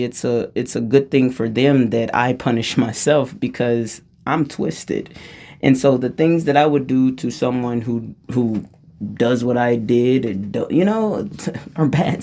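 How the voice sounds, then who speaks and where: A woman with a low-register voice telling a personal story in a casual, rambling way, often breaking off and restarting her sentences and laughing as she talks. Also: a little mellow. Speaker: vlogger.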